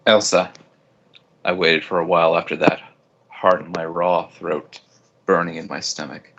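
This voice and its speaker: A man's voice: sits low, little pitch movement, moderate pace, gravelly, fairly bright tone, muffled recording, slight background noise. A man reading fan fiction aloud as a first-person narrator.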